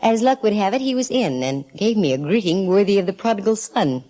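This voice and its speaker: An actress playing a breezy, wisecracking woman private eye in a 1940s radio detective drama, flirty and quick with wry quips. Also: mid-range voice, animated, warm tone, soft background music, lightly acted. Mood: wry, reflective. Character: wisecracking, flirty female private eye narrating her case. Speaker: lead actress. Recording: vintage 1940s radio recording.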